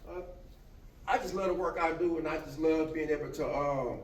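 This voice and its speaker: A man in his fifties giving a casual talk to a class, animated and often laughing as he jokes with the audience. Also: fairly high pitch, moderate pace, recorded from a distance. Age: 50s. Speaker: motivational speaker. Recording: echoey room, from afar, faint noise.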